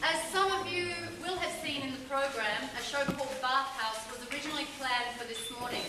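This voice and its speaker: Female festival presenter, fairly high voice, addressing an audience; distant, noisy live theatre recording. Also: fairly quick. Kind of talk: reading an announcement to a live audience. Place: theatre stage before a seated audience. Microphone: far camera in the audience. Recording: echoey, noisy hall from far off.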